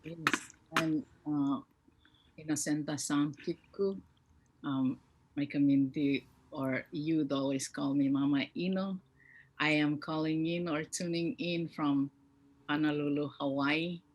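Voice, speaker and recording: A woman in her fifties, a community youth mentor, introducing herself on a panel over a video call in a slow, halting way with pauses and fillers. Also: sits low, fairly even intonation, darkish tone, rough recording. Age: 50s.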